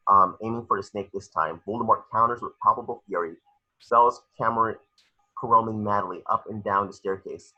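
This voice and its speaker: Male narrator for a table read: rough-edged, lower register, reading action lines with occasional stumbles, lo-fi home recording. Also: bright, forceful tone. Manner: stumbles over words while reading. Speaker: narrator reading stage directions.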